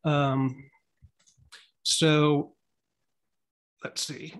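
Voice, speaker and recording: A middle-aged man giving a casual screen-share talk at a meetup from a home room on a video call, relaxed and rambling with many ums and restarts. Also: fairly high pitch, drawn-out words, low-quality recording. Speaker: meetup presenter. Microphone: webcam on a video call.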